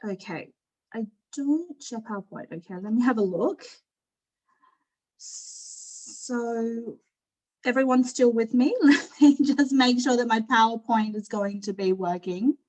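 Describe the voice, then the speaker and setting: A woman's voice: on the high side, slow pace, a little mellow, rough recording. A middle-aged woman with an Australian accent, giving an informal personal presentation on a video call in a candid, conversational way. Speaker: panel speaker at an online forum.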